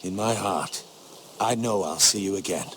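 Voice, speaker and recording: An actor playing a prudent older castle steward, loyal and grave, speaking in a measured, earnest, formal way in a fantasy game drama. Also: male voice, low voice, a bit bright, a little hiss or hum. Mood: solemn, consoling.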